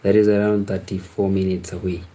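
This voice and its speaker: A man hosting a casual live stream, chatting with viewers in a halting, repetitive way. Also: low-pitched, flat delivery, warm tone, a little hiss or hum. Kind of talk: casual chat with live viewers, reading out and answering comments.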